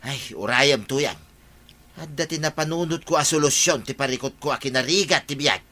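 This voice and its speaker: A man's voice: mid-range voice, normal speed, sharp tone. A man playing a lazy, complaining farmer full of excuses in an Ilocano comedy radio drama, with an Ilocano accent. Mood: scheming.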